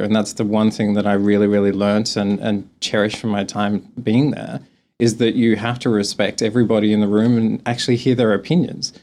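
A man's voice: lowish voice, a little mellow. A young man from Australia with a mild Australian accent, answering interview questions in a chatty way, with frequent laughs and restarts. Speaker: guest.

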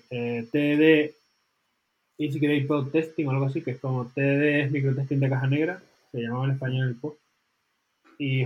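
Casting note: man, fairly high voice, animated co-host who keeps checking in with the listener. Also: lo-fi sound. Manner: animated, peppers speech with tag questions. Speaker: podcast co-host. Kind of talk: casual chat.

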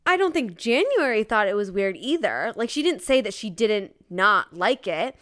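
A woman's voice: mid-range voice, very animated, moderate pace, a bit bright. A woman co-hosting a podcast in casual chat, gushing and emphatic, with lots of informal filler words. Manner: frequent "like" filler, informal youthful phrasing. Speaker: podcast co-host. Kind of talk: casual chat about a romance novel.

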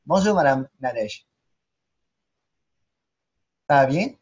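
A podcast host speaks with a husky edge. The pitch sits in the middle, the phrasing is informal, and the recording is a little dull and lo-fi. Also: animated, takes it slowly. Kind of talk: podcast interview. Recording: dull, lo-fi sound.

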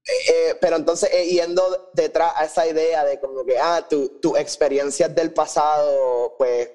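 A man co-hosting a podcast, speaking casually and haltingly, repeating words and mixing Spanish with English. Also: fairly high pitch, steady tone, a little mellow. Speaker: podcast co-host. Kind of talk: casual conversational review.

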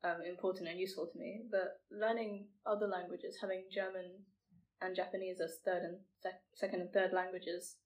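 Flat-voiced teenage girl, off-mic. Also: on the low side, moderate pace. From England. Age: teens. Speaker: podcast guest. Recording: distant from the mic.

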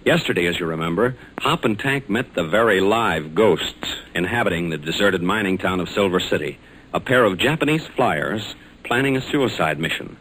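Bright-voiced 1940s American radio announcer, man. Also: deep voice, animated, moderate pace. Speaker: radio announcer and story narrator. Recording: noisy old 1940s radio recording.

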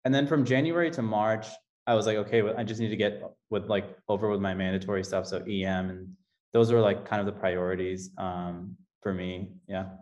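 Low-voiced hesitant young man. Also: fairly even intonation, brisk, darkish tone. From Texas. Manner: hesitant, many fillers. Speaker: medical graduate panelist. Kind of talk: casual panel talk. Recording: dull lo-fi audio.

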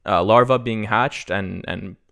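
A young man explains his beekeeping in loose, rambling talk, often restarting his sentences. His voice sits a little low, and he swears casually. He sounds slightly sniffly from a runny nose. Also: measured pace, creaky, a crowd in the background. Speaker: guest beekeeper. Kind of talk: casual podcast chat.

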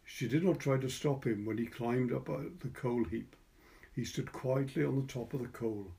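A Welsh man with a soft, dark-toned voice of middling pitch. He speaks as a curator and reader, moving through explanation and literary prose in a relaxed way. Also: gravelly. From Wales. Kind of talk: informal introduction and literary story reading.

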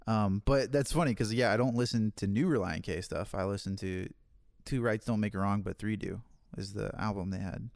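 A man in his thirties chatting casually as a podcast guest, easygoing and quick to laugh. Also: on the low side, vocal fry, darkish tone, no room sound, studio quality. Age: 30s. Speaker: podcast guest, online campus pastor.

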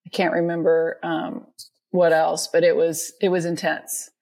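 Middle-aged woman hosting, slightly low voice. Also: flat delivery, measured pace, darkish tone. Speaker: podcast host. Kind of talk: casual conversational interview.

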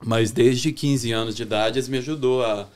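A young Brazilian man with a Brazilian Portuguese accent, a chef chatting as a podcast guest in a relaxed way, often restarting his sentences. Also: medium pitch, normal speed. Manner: relaxed, talks with many restarts and self-corrections. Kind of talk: casual interview chat.

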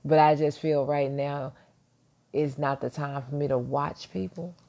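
A self-assured man talks on his podcast in a soft, deep voice with a gravelly edge. His tone is dark and mellow. He keeps it hushed and reflective, circling back with small restarts. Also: steady tone, measured pace. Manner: speaking quietly so as not to wake neighbors. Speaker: podcast host. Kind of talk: casual motivational monologue. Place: at home, early morning.

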